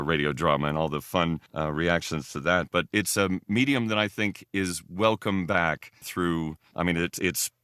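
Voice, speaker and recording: Male guest, casual podcast chat, low resonant voice in a dry recording, enthusiastic and a bit rambling. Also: bright, forceful tone, dry recording.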